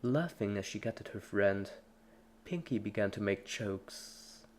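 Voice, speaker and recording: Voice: sits low, animated, a little slow, slight background noise. A narrator giving a scripted dramatic reading of a story in the third person. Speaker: fan fiction narrator.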